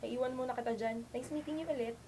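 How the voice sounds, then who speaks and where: A woman plays a frank, friendly young roommate in an amateur radio play. Her voice is fairly high and chatty, with slight noise behind it. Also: fairly even intonation, fast, natural voice. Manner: chatty, candid. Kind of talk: amateur radio drama acting.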